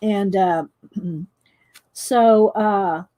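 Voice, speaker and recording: A middle-aged woman with a loud voice that carries, chatting casually and rambling with frequent laughs while she colors for her viewers. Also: mid-range voice, extremely slow. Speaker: coloring channel host.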